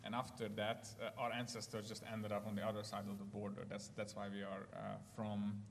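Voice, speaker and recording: A man's voice: fairly low pitch, completely flat delivery, a little fast, gravelly, in a large hall, a little hiss or hum. A man with a Hungarian accent, raised in Ukraine, telling his story at church, hesitant with many fillers. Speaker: guest speaker. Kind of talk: church testimony talk. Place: church hall.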